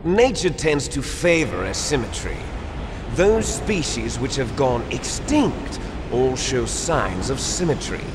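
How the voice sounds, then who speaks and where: An actor playing a bitter, fatalistic video game villain, lecturing with menace. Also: male voice, medium pitch, big pitch swings, slow, background noise. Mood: grim lecturing. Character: bitter, fatalistic villain, cloned son of a soldier. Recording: long echoing hall reverb.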